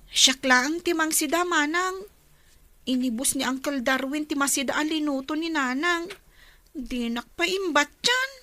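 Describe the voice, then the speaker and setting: A woman's voice: high voice, measured pace, pushed and bright. A woman acting in an Ilocano radio drama as a concerned young daughter from a troubled home. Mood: plaintive, resigned.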